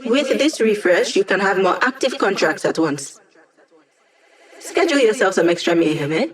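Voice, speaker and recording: A woman's voice: medium pitch, animated, moderate pace, lightly acted. A woman playing a cheeky young hacker who gives tech support in a video game, with a snarky, playful manner and chatty tech slang. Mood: confident. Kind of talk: video game character voice lines.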